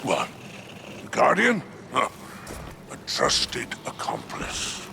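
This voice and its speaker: An actor playing a greedy, scheming alien crime boss in a video game, with a gravelly voice and a smug, florid, wheedling drawl. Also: male voice, on the high side, wildly swooping pitch, slow, background noise, exaggerated voice. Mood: sly goading. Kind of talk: video game cutscene voice acting.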